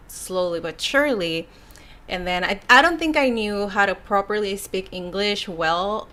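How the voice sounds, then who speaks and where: A young woman from Mexico with a Mexican Spanish accent, her first language being Spanish, reads viewer comments on a casual live stream. Her voice is bright and clear. She chats loosely, sighing and laughing between thoughts. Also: on the low side. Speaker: YouTuber reading viewer comments.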